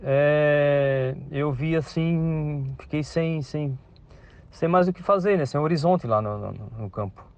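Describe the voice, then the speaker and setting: A man's voice: on the high side, slow. A man, a farmer telling his story casually with hesitations and restarts. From Santa Catarina, Brazil. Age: 50s. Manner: hesitant, many restarts and tag questions. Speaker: farmer interviewee. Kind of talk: casual spoken account. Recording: dull lo-fi radio interview sound.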